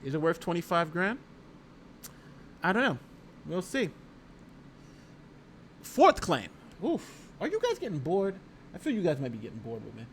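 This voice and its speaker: Male livestream host, lawyer type: slightly high voice, conversational and emphatic legal commentary, reads aloud and reacts, some background noise. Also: huge pitch swings, moderate pace, pauses often. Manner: conversational, emphatic, repeats phrases and talks directly to viewers. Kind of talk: casual live legal commentary, reading a document aloud and reacting.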